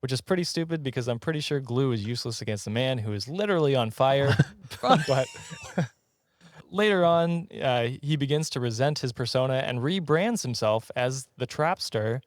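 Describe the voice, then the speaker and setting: A man's voice: medium pitch, animated, moderate pace, no room sound, clean studio sound. A man hosting a comedy podcast, telling stories informally with amused, jokey asides. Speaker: podcast host. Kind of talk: casual comedic podcast storytelling.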